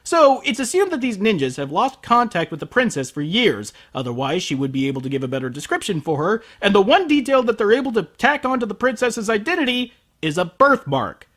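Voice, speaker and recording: A man's voice: sits high, sing-song, a little fast, few pauses, a bit bright. A man hosting a comedic anime review, sarcastic and profane, ranting at the show.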